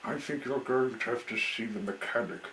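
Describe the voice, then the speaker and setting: A man's voice: medium pitch, moderate pace, bright, forceful tone, a little hiss or hum, lightly acted, low-quality recording. A man playing a kindly, reassuring lumber mill owner, a folksy father figure in a children's storybook. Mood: caring.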